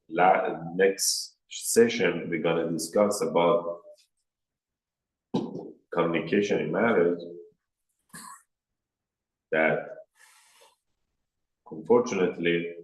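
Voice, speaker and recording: A low-voiced man with a Persian accent speaks slowly and deliberately, giving a marriage workshop as a rabbi. He talks in short plain sentences and jumps higher when he acts out what people say. His voice rings in an echoey room. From Iran. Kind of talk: workshop lecture.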